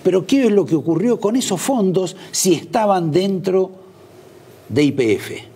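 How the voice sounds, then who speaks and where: A man's voice: sits high, animated, a little slow, dark tone, husky. A middle-aged Argentine man hosting a TV interview, asking pointed questions and cutting in on his guest. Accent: Argentine Spanish. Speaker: TV host.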